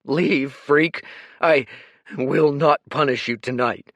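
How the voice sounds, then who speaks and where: A man voicing a huge, hot-tempered young man who shouts and snarls, his voice cracking with grief. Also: medium pitch, slow pace, no echo, voice pushed up. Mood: raw, menacing.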